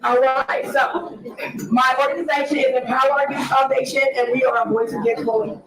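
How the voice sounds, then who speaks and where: A woman from Ohio giving an earnest, emphatic presentation about her nonprofit, her voice raspy from an early start. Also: high voice, steady tone, fairly quick, a crowd in the background. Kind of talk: conference presentation. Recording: echoey hall, distant mic.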